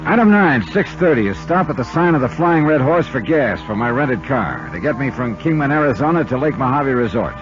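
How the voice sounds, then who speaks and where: A man's voice: medium pitch, lively intonation, brisk, few pauses, music in the background, close to an everyday voice. An actor playing a level-headed male investigator in a 1950s radio detective drama, clipped and matter-of-fact. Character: brisk, level-headed male investigator narrating his case. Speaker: detective lead and narrator. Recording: noisy, muffled old radio recording.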